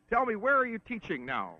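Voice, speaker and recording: A man conducting a formal, polite sports interview on a 1960s film, with a slightly halting delivery. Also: sits high, huge pitch swings, no echo. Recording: muffled 1960s film soundtrack.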